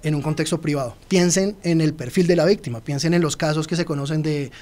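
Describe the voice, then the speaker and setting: Man, Colombian accent, radio guest expert, fast talker, explanatory. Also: on the high side, fairly even intonation, a little mellow. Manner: explanatory, addresses listeners directly. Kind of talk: radio interview.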